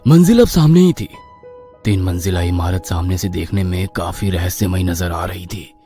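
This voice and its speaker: A man's voice: low voice, moderate pace, dark tone, soft background music. A man narrating a horror story in a theatrical, suspenseful manner.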